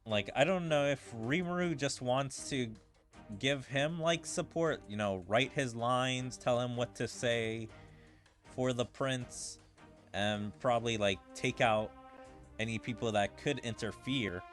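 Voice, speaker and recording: A man streams a game with music playing under his voice. He talks slowly at a middling pitch, in a casual, rambling way. He speculates aloud and leans on filler words as he goes. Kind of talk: casual gameplay commentary with dialogue read aloud. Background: video game music.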